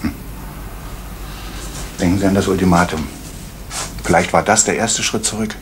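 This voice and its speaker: A man as a deep, calm, probing police inspector in an old radio play with tape hiss. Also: moderate pace, close to an everyday voice. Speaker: police detective Fuchs. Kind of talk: 1980s East German radio crime drama.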